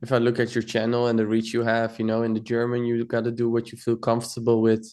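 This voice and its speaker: A man's voice: medium pitch, fast talker. Easygoing male podcast host. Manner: relaxed, encouraging, chatty. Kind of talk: casual interview chat.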